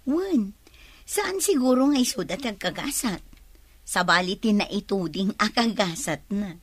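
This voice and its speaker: A woman's voice: medium pitch, expressive, measured pace, slightly rough, dry recording. A woman playing a playful, teasing young nurse in an Ilocano radio drama, exclaiming expressively with an Ilocano accent.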